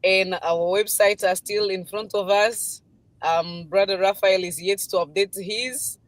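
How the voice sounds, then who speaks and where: A woman's voice: mid-range voice, steady tone, unhurried, no room sound. Woman, dry close sound. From Congo. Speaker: guest business partner. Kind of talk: live stream introduction.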